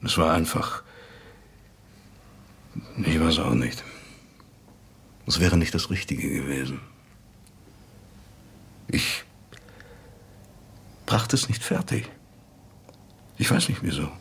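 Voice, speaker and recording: An actor playing a baffled, hesitant man being interviewed in a radio drama, husky and halting as he explains himself. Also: a bass voice, a bit bright, slight background noise, heavily acted. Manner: halting, uncertain. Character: hesitant, baffled man confessing an avoided encounter.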